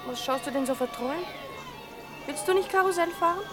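An actress playing a lively, wheedling young sweetheart in a 1960s German radio play, with a girlish, chattering manner. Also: sits high, a little fast, natural voice. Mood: gently coaxing. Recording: 1960s radio recording, music behind.

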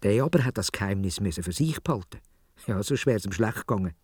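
A man's voice: lowish voice, expressive, fast, loud music behind the voice. A man with a Swiss German accent telling a story for children in a dramatic way, addressing his listeners directly.

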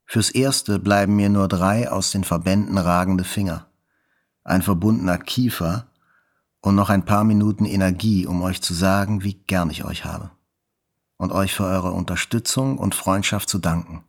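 A man's voice: low-pitched, steady tone, normal speed. An actor playing a wounded male journalist who recalls his hospital ordeal in reflective, first-person literary narration. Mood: earnest, grateful.

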